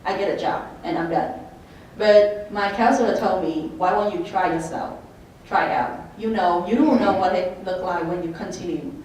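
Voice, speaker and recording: Brief: young woman student, lower voice, halting, handheld mic heard from afar in a reverberant room. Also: a little mellow. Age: young adult. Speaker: student panelist. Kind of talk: panel talk. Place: hotel meeting room. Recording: echoey room from far off, faint noise.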